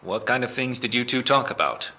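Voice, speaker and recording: Sharp, lively-pitched male police interrogator acted by a man. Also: brisk, a little hiss or hum. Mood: probing. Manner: pointed, clipped questioning. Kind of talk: naturalistic audio drama acting.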